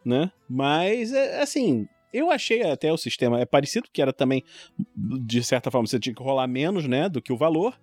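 Guy in his 40s from rio, rio accent, animated storyteller, very up-and-down voice, dry close sound w music under it. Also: mid-range voice, brisk, no room sound. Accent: Rio de Janeiro Brazilian Portuguese. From Rio de Janeiro, Brazil. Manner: animated, informal storytelling with quoted exclamations. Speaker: podcast guest, longtime tabletop RPG player. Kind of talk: casual chat, personal storytelling.

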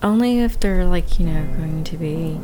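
A woman's voice: fairly low pitch, normal speed, background noise. A woman co-hosting a casual radio show, chiming in with playful teasing remarks.

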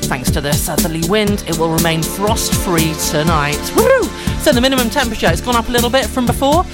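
A woman's voice: mid-range voice, expressive, brisk. A woman presenting informally on live radio in a chatty, effusive manner, giving the weather. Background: loud hip hop music bed.

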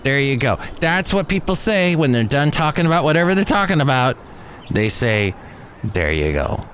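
Rambling American podcast host, noisy recording. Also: male voice, mid-range voice, sing-song, normal speed, a bit bright. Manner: rambling, self-deprecating, with playful asides. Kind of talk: casual solo podcast monologue. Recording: noticeable background noise.

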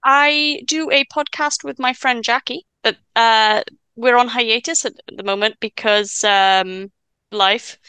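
A woman's voice: on the high side, fairly even intonation, pushed and bright, clear, resonant tone, dry recording. A Welsh-speaking woman in her twenties, a podcast guest chatting casually with frequent stammers and restarts from a stutter. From Wales. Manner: chatty, quick asides and laughs. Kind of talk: casual chat.

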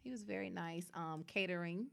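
A woman's voice: fairly low pitch, normal speed, vocal fry. A woman in her thirties chatting casually as a guest, bold and teasing, laughing often. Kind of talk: casual chat.

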